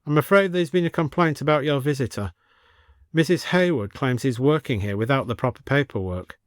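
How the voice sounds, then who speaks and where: A man's voice: mid-range voice, a little fast, dry recording, studio quality, close to an everyday voice. A man playing an officious, bureaucratic village police constable with a formal manner in an audiobook.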